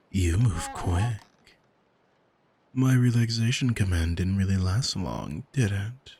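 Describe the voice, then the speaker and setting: A man playing an arrogant, manipulative demon in an ASMR roleplay, coaxing in a smug, soothing, hypnotic manner with a breathy, husky voice. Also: deep voice, darkish tone, exaggerated voice. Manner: smug, deliberate, soothing, commanding. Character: arrogant male demon, soul-owning manipulator, calm and seductive.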